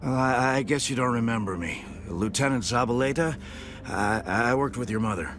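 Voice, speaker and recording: An actor playing a middle-aged retired soldier, down on his luck, who begs in a halting, stammering way. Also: medium pitch, music in the background, close to an everyday voice. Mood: wheedling.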